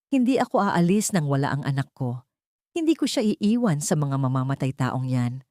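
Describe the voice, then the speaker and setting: A woman's voice: sits low, very animated, normal speed, mellow, no room sound, studio quality. A woman with a Filipino accent voicing a desperate, fiercely protective mother in an audiobook drama. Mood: defiant, firm. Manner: firm, pleading, commanding.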